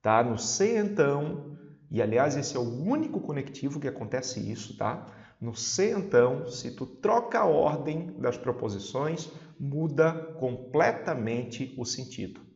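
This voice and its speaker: A man's voice: mid-range voice, very animated, measured pace, gravelly, darkish tone. A man with a southern Brazilian accent teaching an informal exam-prep lesson, chatty and didactic, often checking that listeners follow. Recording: roomy echo, slightly dull sound.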